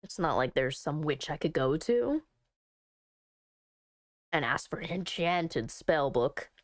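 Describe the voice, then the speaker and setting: A woman's voice: deep voice, very animated, slow, dry recording, clean studio sound. A woman giving casual, chatty commentary as she plays through a visual novel, talking to herself with dramatic delivery. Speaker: game streamer. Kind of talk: casual visual novel playthrough commentary, reading text aloud.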